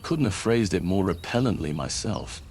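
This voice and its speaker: A man's voice: deep voice, lively intonation, normal speed, a little mellow, slight background noise. A man playing a noble paladin hero in a fantasy game, polite, composed and firm. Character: noble, calm, righteous male paladin hero.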